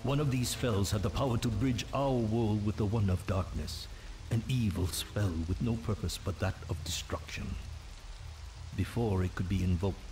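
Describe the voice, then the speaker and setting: An actor playing a solemn, portentous narrator of dark ancient legends in a video game, telling them as dramatic scripted storytelling. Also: male voice, on the low side.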